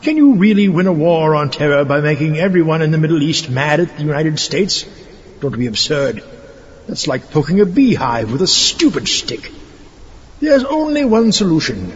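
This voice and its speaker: High-pitched, pompous mad scientist villain. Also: male voice, a little hiss or hum. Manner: pompous, theatrical. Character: gleeful megalomaniac mad scientist villain seeking world domination. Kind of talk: campy audio-drama campaign speech. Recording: muffled, long hall reverb, crowd behind.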